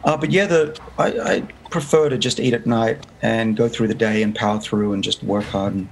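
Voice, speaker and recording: A man's voice: lowish voice, fairly even intonation, moderate pace, vocal fry, rough recording. A man in his fifties with an Australian accent, explaining his research as an interview guest, earnest and full of restarts. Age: 50s. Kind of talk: remote interview. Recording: faint background noise.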